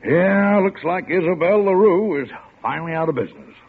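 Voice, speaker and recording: Police lieutenant, male: American, gruff, folksy, expressive rasp, narrow-band vintage radio drama. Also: high-pitched, a little fast, exaggerated voice. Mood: incredulous. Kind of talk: mid-century American radio drama acting. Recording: narrow tinny old radio broadcast.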